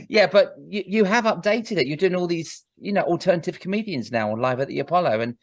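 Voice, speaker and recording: A British man hosting an interview, enthusiastic and quick to laugh as he prompts his guest. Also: sits high, expressive, dry recording, studio quality. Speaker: interview host. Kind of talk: casual interview chat.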